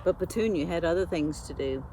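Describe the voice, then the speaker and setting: A woman narrating a children's storybook expressively, like a school librarian, with deliberate dramatic emphasis. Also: on the low side, mellow, slight background noise, rough recording. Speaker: school media specialist reading a storybook.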